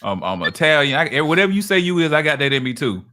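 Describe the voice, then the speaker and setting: Man, host of a casual chat, bright ringing voice, stammers through repeats. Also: fairly high pitch, very animated, quick pace. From United States. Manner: repeats words while searching, acts out quoted voices. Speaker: podcast host.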